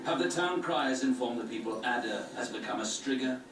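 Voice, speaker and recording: A man's voice: mid-range voice, fairly quick, echoey room, lightly acted. An actor playing a ruthless, commanding male grand master villain in a game cutscene. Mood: cold. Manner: cold, commanding. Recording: distant game audio under loud music.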